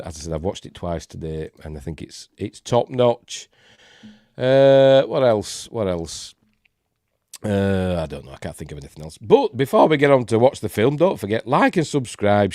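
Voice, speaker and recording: A man's voice: lowish voice, a little mellow, no room sound, studio quality. A man with a Yorkshire accent hosting a rambling live-stream film review, congested and snuffly from a cold. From Yorkshire, England. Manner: rambling, hesitant, many fillers. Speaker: host.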